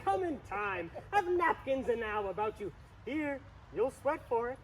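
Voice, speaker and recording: A man's voice: very high voice, big pitch swings, measured pace, heavily acted. A young man playing a rambling, grumbling porter at the gates of hell in a dramatic Shakespeare reading, recorded outdoors. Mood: mocking, riled. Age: teenager. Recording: outdoor recording with traffic noise.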